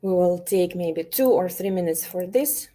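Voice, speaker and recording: A woman from Ukraine, an elementary teacher, presenting an online workshop over a video call, speaking with many pauses, fillers and restarts. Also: fairly low pitch, mellow.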